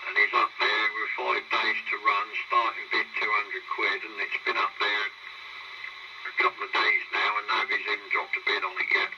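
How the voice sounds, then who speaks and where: British bloke nattering on a cb radio, loads of hiss and crackle, fairly low voice, rambly and matey. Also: male voice, completely flat delivery, moderate pace, highs cut off. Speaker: CB radio hobbyist. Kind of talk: casual chat over CB radio. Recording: noisy, staticky CB radio transmission.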